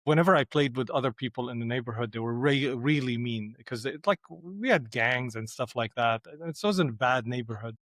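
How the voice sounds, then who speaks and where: A man's voice: mid-range voice, brisk, few pauses, a bit bright, no echo, studio quality. A middle-aged man from Syria, a podcast guest speaking reflectively with frequent restarts. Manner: thoughtful, hesitant with restarts. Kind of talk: reflective conversation.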